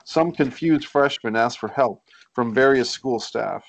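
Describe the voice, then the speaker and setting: Man reading screenplay directions, mid-pitched. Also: measured pace, creaky, poor audio. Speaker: stage directions reader at table read. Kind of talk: scripted narration of screenplay directions. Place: indoors, group video call. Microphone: computer or headset on video call.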